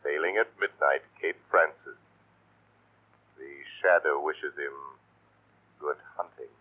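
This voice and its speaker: Sinister deep husky unseen avenger. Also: male voice, pushed and bright, full character voice. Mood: ominous, commanding. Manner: deliberate, ominous, authoritative declarations. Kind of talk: 1940s radio drama acting. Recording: old scratchy radio recording.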